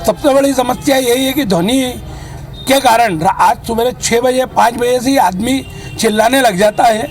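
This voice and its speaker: Very high-pitched Indian man making a complaint. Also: normal speed, soft background music. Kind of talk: spoken complaint to the press.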